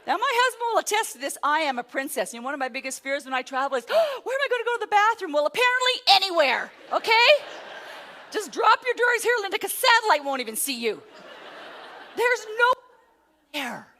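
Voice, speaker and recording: A middle-aged woman, a motivational speaker, telling a self-mocking story to a live audience, rising to loud exclamations. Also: very high voice, big pitch swings, brisk, slight background noise. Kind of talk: storytelling keynote talk.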